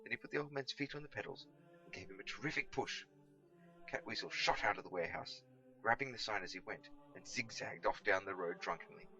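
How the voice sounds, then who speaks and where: A man reading a storybook aloud as an expressive narrator. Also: lowish voice, a little fast, lo-fi sound, soft background music. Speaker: audiobook reader.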